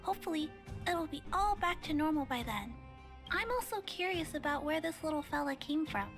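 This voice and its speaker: An earnest young girl talks softly and politely. Her voice sits very high. Faint game music runs behind her. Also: expressive, a little fast.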